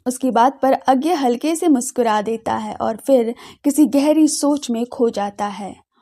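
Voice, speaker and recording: A woman narrating a scripted audio drama with expressive storytelling. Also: on the high side, unhurried.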